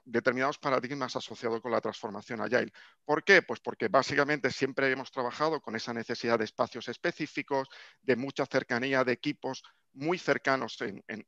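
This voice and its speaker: A man in his fifties giving a conference talk over a video call from a home office, speaking in a measured way with frequent restarts and hesitations. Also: mid-range voice, gravelly, a bit bright, no room sound. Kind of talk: online conference talk presenting slides. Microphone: video call webcam mic. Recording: dull, dry video call audio.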